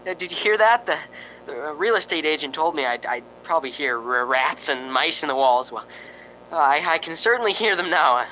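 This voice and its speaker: A nervous man played by an actor hosts from a haunted house in an old-time radio drama. His voice is sharp and tense, and he hesitates and trips over his words. A faint rumble runs under the recording. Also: animated, moderate pace, low-quality recording. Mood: uneasy. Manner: stammering, hesitant, exclaiming. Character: jumpy, frightened male radio host in a haunted house.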